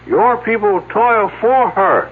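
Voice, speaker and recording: A man's voice: high-pitched, animated, slow, slightly rough, full character voice. An actor playing a mystical man who poses as an ancient mourner, speaking solemnly in a put-on foreign accent and archaic, cryptic phrases. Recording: narrow, tinny phone-like old broadcast audio.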